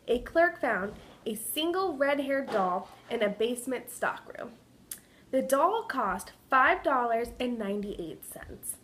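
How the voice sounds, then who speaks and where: A woman giving a dramatic reading of a children's story, presenting it like a student with expressive, deliberate delivery. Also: a little high.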